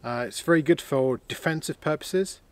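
A man's voice: fairly high pitch, moderate pace, dry recording. A man vlogging from inside a heated canvas tent on a winter camping trip, casually showing off new gear and thinking aloud as he goes. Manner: relaxed, chatty, thinks aloud with fillers. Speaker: outdoor vlogger.

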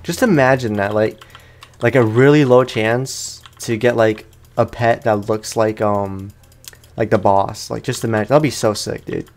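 A man's voice: on the low side, steady tone, unhurried. Playful, chatty man doing casual gaming commentary. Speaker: gamer, let's play host.

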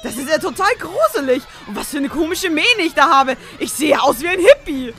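Woman playing a young pony princess, high voice, sassy, manipulative, dramatic, fan audio drama, music behind. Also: big pitch swings, brisk, bright, forceful tone, full character voice. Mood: shrieking, indignant. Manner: theatrical, teasing, dramatic. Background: background music.

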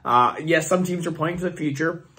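A young man with a hoarse, fading voice hosts his channel, rambling and thinking aloud with many fillers as he talks through his picks. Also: a little high, fairly quick, bright, forceful tone. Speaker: fantasy football channel host. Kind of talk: casual screen-share commentary.

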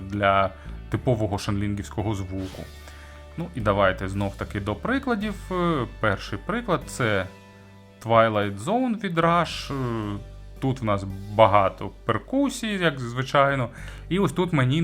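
Man reviewing gear, lively pitch swings. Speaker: audio gear reviewer and host. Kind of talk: casual semi-scripted product review commentary.

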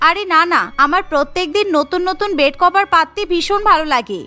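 A woman's voice: extremely high pitch, fairly quick, sharp tone, soft background music. A woman voicing a busy, chore-obsessed young housewife in a cartoon, fussy, chatty and insistent. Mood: busy, cheerful. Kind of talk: cartoon dialogue.

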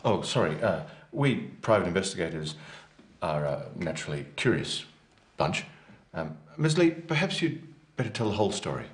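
An actor playing an awkwardly nosy, apologetic private investigator in an educational TV drama, speaking hesitantly with ums and pauses. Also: male voice, deep voice, sing-song. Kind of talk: acted educational TV drama dialogue.

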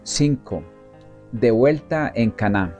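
A man reading a religious book aloud in a slow, solemn, evenly paced manner. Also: mid-range voice, animated, music in the background. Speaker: reader. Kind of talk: measured scripted book reading.

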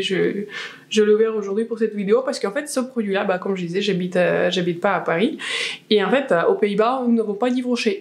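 A woman's voice: medium pitch, darkish tone. A woman in her twenties from Russia, speaking with a Russian accent, chatting casually as she does her makeup, with lots of fillers and restarts. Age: 20s. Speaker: beauty vlogger. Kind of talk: casual chat while doing makeup. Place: small room indoors, plain wall.

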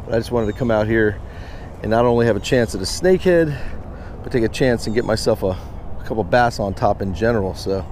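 American dude doing a casual fishing vlog, chatty, outside w a bit of ambient noise. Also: male voice, fairly low pitch, a little fast, darkish tone. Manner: chatty, informal, addresses viewers as guys. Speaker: fishing vlogger. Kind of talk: casual outdoor vlog commentary to viewers. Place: outdoors beside a pond.

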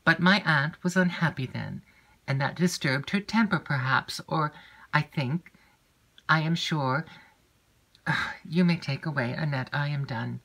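A grave young lady, composed and restrained, as a woman reading the story plays her. She speaks in a low, even register with careful, measured phrasing. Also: creaky, breathy edge, full character voice. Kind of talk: audiobook character reading.

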